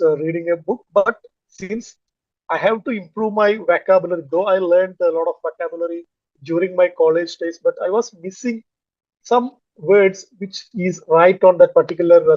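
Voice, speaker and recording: A man from Kerala with a Malayali Indian English accent, talking haltingly with many fillers and restarts about learning English. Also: sits high, darkish tone, no echo, muffled recording. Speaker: English learner, finance manager. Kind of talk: casual conversation on a video call.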